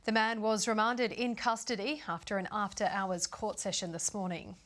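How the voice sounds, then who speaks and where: A woman's voice: mid-range voice, flat delivery, normal speed, a bit bright. Woman reading the evening news. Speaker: news presenter.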